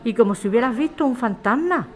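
A woman's voice: on the high side, expressive, quick pace, natural voice. A woman playing a motherly, concerned bar keeper in a radio drama, colloquial and given to exclaiming.

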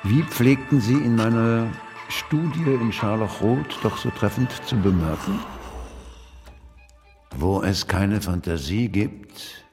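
An actor playing a wry, triumphant gentleman ghost in a radio drama, measured, eloquent and smug. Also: fairly low pitch, music in the background. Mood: measured, menacing.

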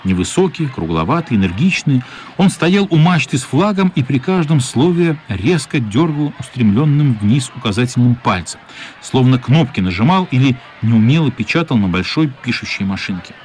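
A man's voice: mid-range voice, big pitch swings, normal speed, darkish tone, slight background noise. A man narrating a literary radio play as a scripted storyteller.

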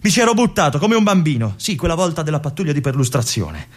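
An actor playing a disillusioned young army lieutenant at a remote fortress, speaking to his colonel in a confiding, pleading monologue with weighty pauses. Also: a little high, sing-song. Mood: frustrated. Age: young adult. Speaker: stage actor. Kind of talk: theatrical monologue addressed to a colonel.

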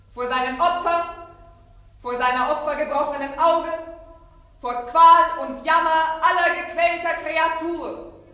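An actress playing a stern angel who accuses mankind, declaiming solemnly like an incantation in an old radio drama. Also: female voice, high-pitched, bright, forceful tone, full character voice. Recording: very echoey cavernous hall, distant voice.

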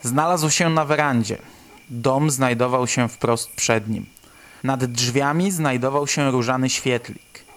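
Mężczyzna, narrator o średnim głosie. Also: male voice, medium pitch, slow pace, fairly bright tone. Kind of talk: scripted literary narration for radio drama.

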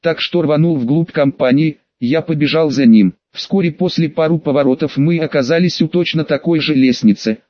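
A man's voice: a little high, moderate pace, few pauses, no room sound, dull audio. A man reading first-person narration from a sci-fi adventure audiobook.

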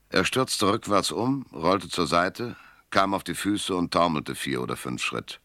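Cynical private eye, very low gravelly voice, forceful edge, dry laconic delivery, noir radio play. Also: male voice, dry recording, exaggerated voice. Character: hardboiled, cynical male private detective, narrator of his case. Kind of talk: German radio crime drama, first-person noir narration and dialogue.

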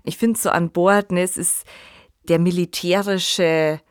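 Woman podcaster, lowish voice, thinking out loud kinda halting, chatty analysis, dry close mic. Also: fairly even intonation, smooth, no room sound. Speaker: podcast host. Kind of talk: conversational analytical discussion.

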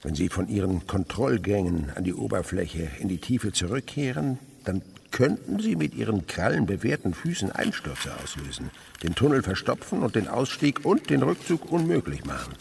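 An actor playing an older naturalist uncle in a radio play, eager and tender, explaining with hushed wonder. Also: male voice, on the low side, big pitch swings, normal speed, vocal fry, few pauses, a little hiss or hum. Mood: reassuring, fascinated. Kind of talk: German radio play acting.

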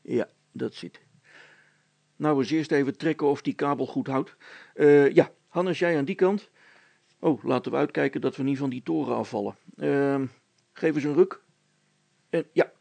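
A good-natured handyman from a storybook, acted out by a male reader. He is practical and busy, muttering in short hesitant bursts. His voice is gravelly and a bit raspy. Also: medium pitch, normal speed, long pauses, a little mellow, no room sound. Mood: focused.

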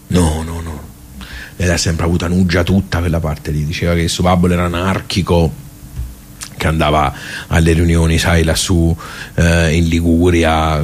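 An actor with a Tuscan accent playing a plain-spoken village social club regular in a radio monologue, rambling and hesitant, repeating himself with chatty asides. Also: male voice, low-pitched, a little hiss or hum, natural voice. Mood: gossipy, amused. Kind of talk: theatrical radio monologue, colloquial storytelling.

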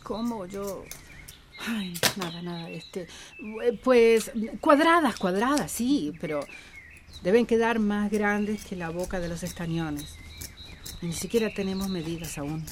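An actress playing a flustered, practical, easily irritated village woman in a radio soap opera, excitable. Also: medium pitch, measured pace, background noise, lightly acted. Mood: distracted. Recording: faint birds and rural ambience.

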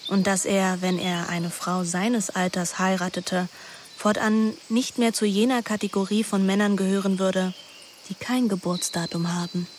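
A woman's voice: medium pitch, flat delivery, moderate pace, slight background noise, lightly acted. An actress playing a wilful, moody teenage girl who narrates her summer intimately in a radio drama. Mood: reflective. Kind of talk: first-person radio drama narration and dialogue. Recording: music behind the voice.

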